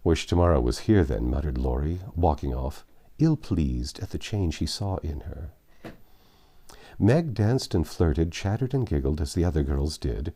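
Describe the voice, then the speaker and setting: A man's voice: sits low, mellow. A man narrating a classic novel expressively as an audiobook reader.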